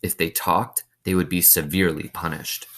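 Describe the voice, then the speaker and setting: Low-voiced man reading a story aloud. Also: steady tone, moderate pace, fairly bright tone. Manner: explains simply, asks listeners questions. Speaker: reader of a children's novel. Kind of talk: read-aloud storytelling with explanatory asides.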